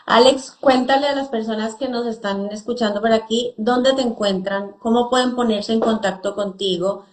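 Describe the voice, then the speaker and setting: Higher-voiced woman host in echoey room. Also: steady tone, moderate pace. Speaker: interview host. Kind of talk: conversational interviewing.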